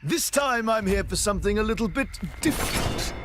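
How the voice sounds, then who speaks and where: A man's voice: high voice, expressive, normal speed. A man voicing a cocky, flamboyant crown prince and swordsman in a video game, boastful, teasing and theatrical. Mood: smug, challenging. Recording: loud music under dialogue.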